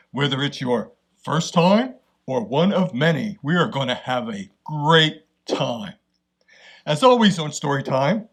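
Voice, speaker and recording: Man playing a friendly, animated storytime bear. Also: medium pitch, husky, full character voice. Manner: welcoming, addresses children directly. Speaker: host. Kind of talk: scripted children's show introduction.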